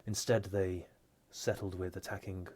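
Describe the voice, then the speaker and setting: A man as a grave, deep-voiced knight. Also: level tone, a little mellow. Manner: formal, measured briefing.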